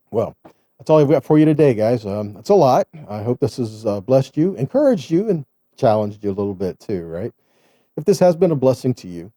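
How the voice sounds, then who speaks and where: A man with a very mellow, relaxed voice of middling pitch teaches scripture. He sounds earnest and exhorting, in an informal sermon style with frequent rhetorical questions. The recording is dry, with little room sound. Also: dry recording. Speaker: Bible teacher, host of online Torah studies.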